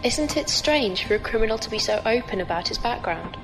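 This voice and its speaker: A woman's voice: fairly high pitch, animated, a little fast, low-quality recording. A woman playing an earnest, proper young squire on the run, speaking precisely in an audio drama. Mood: puzzled, earnest. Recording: music behind the voice.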